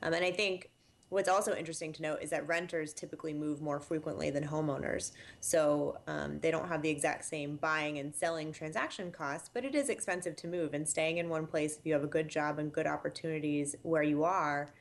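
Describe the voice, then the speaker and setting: A woman who directs housing statistics, giving measured, explanatory interview answers with frequent fillers. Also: sits low, steady tone, creaky.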